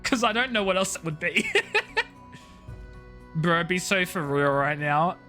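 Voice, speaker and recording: A man's voice: high-pitched, lively intonation, slow, pushed and bright, faint music behind. A man live streaming a video game, talking casually and jokily in slang with playful mock outrage. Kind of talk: casual chatty game commentary.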